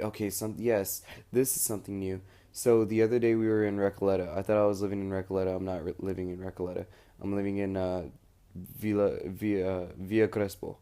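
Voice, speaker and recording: Young man, American accent, low pitch, very relaxed dark tone, informal rambling, spoken diary. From United States. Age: young adult. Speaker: student recording an audio log abroad.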